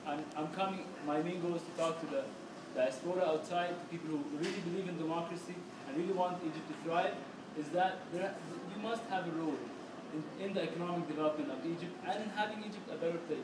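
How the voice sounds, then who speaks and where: A man's voice: fairly high pitch, quick pace. A man giving an emphatic speech at a community meeting, repeating words as he goes. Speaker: guest speaker. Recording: echoey room, distant mic, noisy background.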